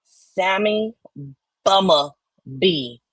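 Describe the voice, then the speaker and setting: A woman co-hosting casually, chatting and reading online comments aloud in a loud, theatrical, emphatic manner. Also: medium pitch, expressive, very slow, husky, dry recording. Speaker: co-host.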